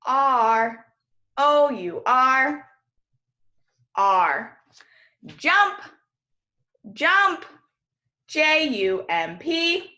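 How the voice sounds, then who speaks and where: A woman's voice: fairly high pitch, bright, forceful tone. An American woman in her thirties teaching young children as a kindergarten teacher, encouraging and enunciating with exaggerated care in a call-and-repeat drill.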